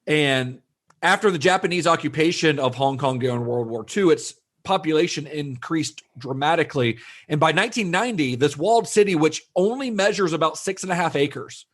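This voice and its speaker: A man's voice: on the high side, fairly quick. Chatty man on a film podcast. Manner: chatty, relaxed, with frequent "like" and restarts. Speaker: podcast co-host. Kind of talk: casual film discussion.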